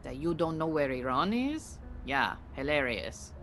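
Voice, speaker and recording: An actress playing an exacting Iranian refugee mentor in an audio play, speaking formally and precisely with dry, bossy authority. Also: female voice, low voice, very animated, a little slow, fairly bright tone, soft background music, full character voice. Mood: dry, disapproving. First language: Farsi. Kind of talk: audio theatre acting.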